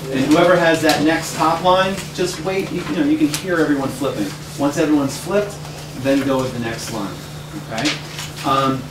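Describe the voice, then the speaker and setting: A man leading a group, giving informal, directive instructions and repeating himself to be clear. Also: on the high side. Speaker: reading group leader, teacher. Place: indoor room with a group. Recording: echoey room, far mic, noisy hum.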